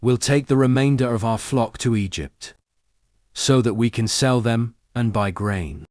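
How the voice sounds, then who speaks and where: An actor playing a devout, patient patriarch in an audio Bible drama, speaking in a measured, solemn way. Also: male voice, medium pitch, a little mellow, no room sound, clean studio sound, lightly acted. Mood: calm, decisive. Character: patient, devout patriarch, earnest and authoritative man.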